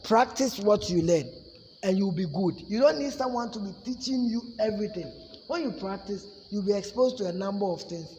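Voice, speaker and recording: A man's voice: sits high, mellow, slight background noise. A man with a Ghanaian accent teaching a public speaking class in an emphatic way, often asking "you see?". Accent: Ghanaian English. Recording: echoey room with long reverb.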